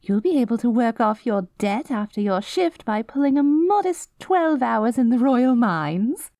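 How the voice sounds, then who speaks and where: A woman's voice: a little high, animated, unhurried, dark tone, no room sound, clean studio sound. A woman voicing a haughty, self-righteous good queen with a cruel streak, in pompous, theatrical fantasy roleplay style. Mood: smug, condescending. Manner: pompous, theatrical, mock-archaic diction. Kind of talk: scripted fantasy audio roleplay.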